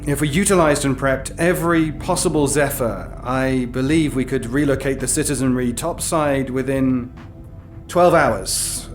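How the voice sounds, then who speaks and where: A man's voice: mid-range voice, measured pace, faint music behind, lightly acted. An actor playing a cautious male mayor in an audio drama, formal and deferential.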